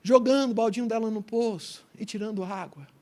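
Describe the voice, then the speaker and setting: A Brazilian man, a pastor, preaching a sermon in a church and telling stories, dropping to a hush and then rising loud. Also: sits high, a little fast. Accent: Brazilian Portuguese. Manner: storytelling preacher, swings between hushed and loud. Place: church sanctuary.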